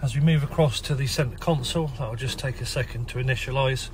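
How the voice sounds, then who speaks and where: A man with a croaky, hoarse voice and a British accent, casually talking through a car's features while filming in an indoor showroom. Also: mid-range voice, a little fast, slight background noise. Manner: relaxed, chatty listing of features. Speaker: car salesman. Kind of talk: walkaround car sales video. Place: indoor car showroom with tiled floor.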